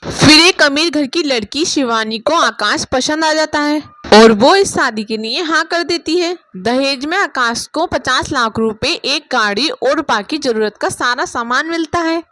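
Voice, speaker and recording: A woman's voice: sits high, a little slow, hardly any pauses, fairly bright tone, dry recording. A woman storyteller giving scripted narration of a moral story.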